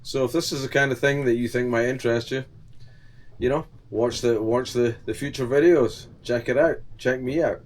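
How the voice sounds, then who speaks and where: A man's voice: mid-range voice, normal speed. An older man from Scotland with a Scottish accent, talking plainly and casually to camera about his life aboard his sailboat. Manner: plain-spoken, relaxed, rambling. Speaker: channel host. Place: on board his sailboat, indoors in the cabin.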